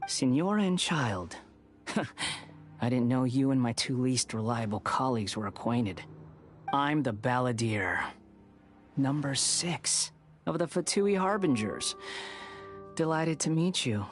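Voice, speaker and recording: A man's voice: medium pitch, natural voice. An actor playing a smug young male villain in a video game, mocking, theatrical and condescending. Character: smug, sneering young male villain, a high-ranking harbinger. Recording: music behind.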